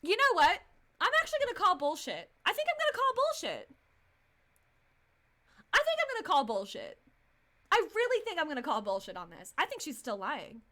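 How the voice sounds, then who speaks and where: Excitable high-pitched young woman streamer. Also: brisk, pauses often. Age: 20s. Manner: excitable, repetitive, sweary. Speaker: game streamer. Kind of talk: casual livestream reaction commentary.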